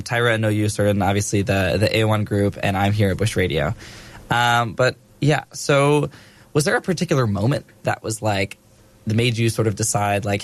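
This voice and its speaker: A young man presenting a radio show, asking questions in a casual, hesitant way. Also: fairly low pitch. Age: young adult.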